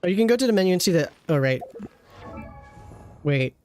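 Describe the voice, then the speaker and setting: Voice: mid-range voice, expressive, fairly quick, a little mellow. Rambling, teasing game streamer over music. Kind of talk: casual livestream game commentary.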